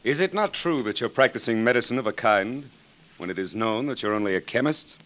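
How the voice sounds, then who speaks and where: A man's voice: mid-range voice, lively intonation, a little fast, a bit bright, lightly acted. An actor playing a haughty male court physician in a 1940s radio drama, sarcastic and pompous in delivery. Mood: scornful. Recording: old 1940s radio broadcast.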